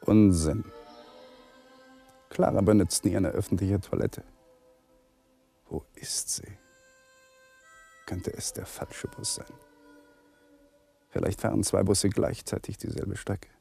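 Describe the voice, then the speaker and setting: An actor playing an anxious young lawyer in a fragmented, brooding inner monologue that drifts into whispers, in a radio play. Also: male voice, on the low side, steady tone, slow pace, gravelly, long pauses, dark tone, soft background music. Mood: agitated, anxious.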